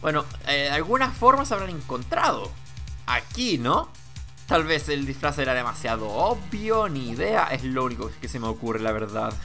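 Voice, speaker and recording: A man's voice: medium pitch, sing-song, moderate pace, sharp tone, soft background music. A man giving casual let's play commentary, hesitantly thinking aloud.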